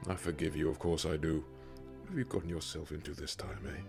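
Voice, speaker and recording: A man's voice: very deep voice, fairly even intonation, fairly quick, creaky, a little mellow, soft background music, full character voice. A man acting as a forgiving, teasing companion in an audio drama, with an affectionate grumble. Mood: fond, forgiving.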